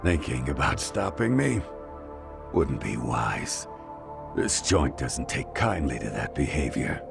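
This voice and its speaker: An actor playing a cold, menacing man of few words in a video game dub, with a gravelly voice and understated delivery. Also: a bass voice, big pitch swings, slow pace, full character voice. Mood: quietly menacing. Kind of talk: English-dub video game cutscene acting. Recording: music behind the voice.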